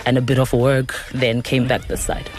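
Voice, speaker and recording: A woman's voice: very deep voice, flat delivery, normal speed, a little mellow, faint music behind. A young woman from Tanzania, a radio station's events coordinator, telling her story openly in a casual interview and slipping between English and Xhosa. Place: radio studio.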